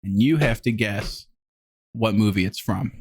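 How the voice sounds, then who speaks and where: A man's voice: fairly low pitch, measured pace, breathy edge, dry recording. A man hosts a casual podcast, laughing as he talks. His voice sits close to the mic with a dry, close sound. He keeps the chat loose and playful. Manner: laughing, lively, swearing. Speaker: podcast host.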